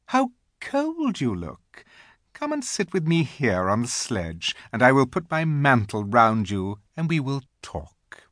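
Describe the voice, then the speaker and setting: A man voicing an imperious, menacing witch queen in an audiobook, haughty and commanding, with drawn-out emphatic words and a streak of false sweetness. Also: mid-range voice, big pitch swings, fairly bright tone, no room sound, studio quality, voice pushed up. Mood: falsely sweet. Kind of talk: audiobook character voice.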